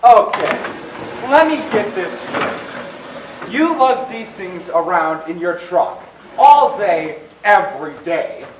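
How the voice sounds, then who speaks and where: An indignant, self-important young man acted by a male stage player speaks in a high voice with earnest pomposity. It is an amateur play, echoing in a hall and picked up from a distance. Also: sing-song, slow pace, heavily acted. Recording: echoey hall, distant mic, faint noise.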